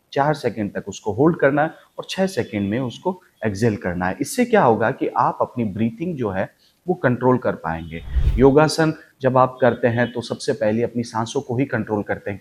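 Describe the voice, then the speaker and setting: A man's voice: mid-range voice, a little mellow. An Indian-accented man speaking as an acting coach, giving an instructional talk in an emphatic way with repeated, parallel phrases. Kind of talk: instructional talk to viewers, demonstrating voice techniques.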